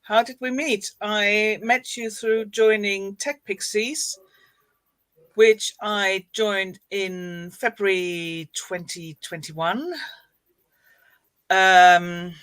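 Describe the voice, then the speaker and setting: A woman from Germany, a life coach, guesting on a casual live chat, cheerful and quick to laugh. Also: mid-range voice, steady tone, fairly bright tone, smooth, no echo.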